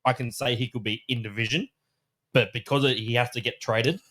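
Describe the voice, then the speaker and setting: Teasing podcast co-host. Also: male voice, mid-range voice, steady tone, a little fast, a bit bright. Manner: laughing, teasing banter. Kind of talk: casual sports podcast chat.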